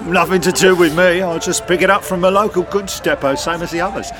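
A man's voice: high voice, steady tone, a little fast, music in the background, natural voice. An actor playing a brash, chatty male market stall trader in an audio drama. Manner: chatty street-trader patter, cheeky.

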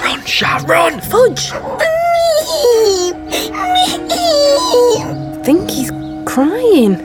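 A woman playing a cheeky, blunt, excitable youngster in an audio drama. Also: very high voice, extremely slow, full character voice. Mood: alarmed. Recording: loud trailer music behind.

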